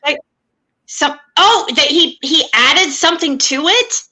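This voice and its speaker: A woman guest on a livestream panel speaks in a high, bright voice that swoops up and down. She talks slowly and haltingly, with lots of fillers. She giggles often. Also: animated. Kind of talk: casual panel chat.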